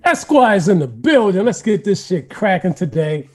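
A man co-hosting a podcast, brash and boastful, cracking loud jokes. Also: high-pitched, sing-song, moderate pace. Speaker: podcast co-host. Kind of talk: casual banter.

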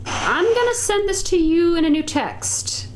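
A woman chatting casually about phone apps and audio gear on a stream, rambling and correcting herself, with a loud mic that clips. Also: very high voice, animated, a little slow, very noisy. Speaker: livestream co-host testing audio gear. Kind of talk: casual tech chat.

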